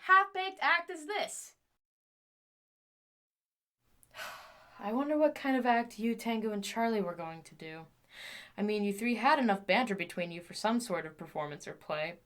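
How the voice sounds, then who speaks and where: Woman acting an excitable, high-voiced scheming lady inventor. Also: normal speed. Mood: exasperated, musing. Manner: theatrical, talking to herself, swinging between moods. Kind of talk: audio drama monologue.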